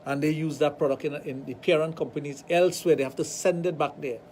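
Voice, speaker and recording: A man with a Trinidadian accent, hosting a radio talk show and telling animated stories with acted-out dialogue. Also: on the high side, fast talker, slight background noise. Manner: animated storytelling, acts out dialogue. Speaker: radio show host, sexologist.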